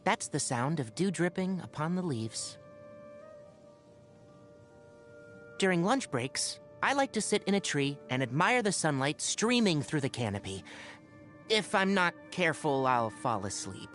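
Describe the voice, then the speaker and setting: A man's voice: on the high side, big pitch swings, normal speed, faint music behind. A man voicing a young fox-eared forest ranger and scholar in a video game, articulate and instructive, earnest with a dry, patient wit. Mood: quiet, relaxed. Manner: articulate, instructive, gently wry. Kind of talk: scripted game character voice lines.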